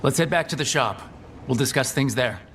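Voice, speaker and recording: An actor playing a friendly, level-headed team leader in a video game, speaking in a measured, conversational way. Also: male voice, mid-range voice, a bit bright, slight background noise, lightly acted. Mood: steady, serious. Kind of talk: video game cutscene acting.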